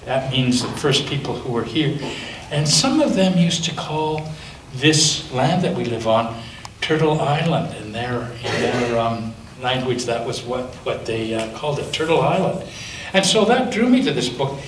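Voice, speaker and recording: A male pastor reads a children's story aloud with a lively, lilting voice that rises and falls. He sits a little back from the microphone, so his voice sounds distant. The church around him adds a strong echo. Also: medium pitch. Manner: gentle, lively storytelling with slow dramatic pauses. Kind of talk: reading a picture book aloud to children. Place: church sanctuary. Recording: echoey church from a distance, faint noise.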